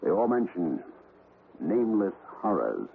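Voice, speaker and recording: A man's voice: sits low, big pitch swings, a little slow, creaky, exaggerated voice. An actor playing an anxious, earnest businessman in a 1970s radio drama, speaking in an agitated, emphatic way. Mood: grave, alarmed. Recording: muffled, noisy old radio broadcast.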